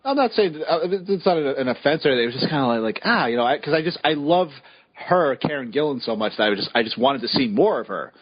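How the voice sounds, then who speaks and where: A man co-hosting a podcast, chatting casually and excitably with frequent stammered restarts. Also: mid-range voice, fast, slightly rough, dry recording, dull audio. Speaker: podcast co-host. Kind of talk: casual chat.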